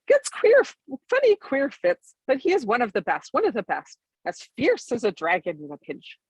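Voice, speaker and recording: A woman's voice: fairly high pitch, huge pitch swings, normal speed, breathy edge, dry recording, pitched above the performer's own voice, exaggerated voice, rough recording. A woman voicing an old male wizard in a storybook, speaking emphatically and repeating phrases. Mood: insistent, fond. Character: excitable old male wizard defending his small friend.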